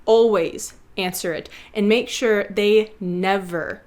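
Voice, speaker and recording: A woman's voice: medium pitch, takes it slowly, a little mellow. A woman hosting a video essay, narrating a scripted lesson and playfully addressing viewers like a schoolteacher.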